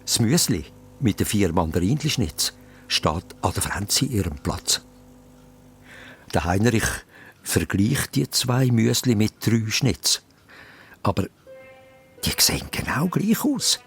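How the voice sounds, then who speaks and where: A man's voice: fairly low pitch, sing-song, slow, long pauses, soft background music. A man with a Swiss accent narrating a children's radio play as a suspenseful story.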